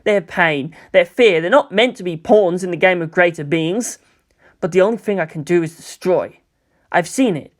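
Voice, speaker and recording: A man's voice: high-pitched, normal speed, lightly acted. Man playing an intense, reluctant teenage hero. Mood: defiant. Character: reluctant, earnest, defiant teenage boy hero. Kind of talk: dramatised fan fiction reading.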